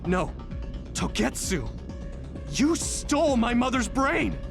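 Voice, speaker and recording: Young game hero: high voice, very animated, earnest, impassioned, anime style. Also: male voice, slow, creaky, over music, full character voice. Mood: indignant. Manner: expressive, swinging from hushed to impassioned.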